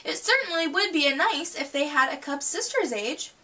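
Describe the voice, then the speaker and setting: A kindly mother bear from a picture book, read by a woman, speaks in a high, animated voice. She is attentive and motherly, heard slightly away from the microphone. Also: normal speed, recorded from a distance. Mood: observant.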